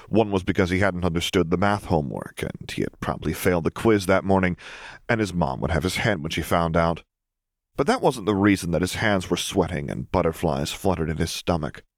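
A man's voice: sits low, no echo, studio quality. A man reading a scripted story as an audiobook narrator.

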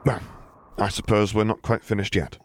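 A man's voice: on the low side, flat delivery, normal speed, natural voice. A man acts out a flustered admiral in an audiobook, dutiful and formal. His politeness sounds strained and exasperated, and there is light noise on the recording.

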